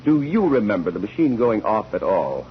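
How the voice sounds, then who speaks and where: A man's voice: lowish voice, sing-song, normal speed. An actor playing a methodical male courtroom examiner in 1940s radio style, questioning in a formal, probing way. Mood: brisk, methodical. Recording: dull, noisy 1940s radio recording.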